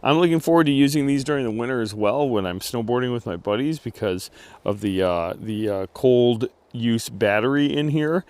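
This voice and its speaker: A man giving a casual outdoor gear review, speaking in a halting way as he thinks aloud. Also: mid-range voice, darkish tone, clear, resonant tone.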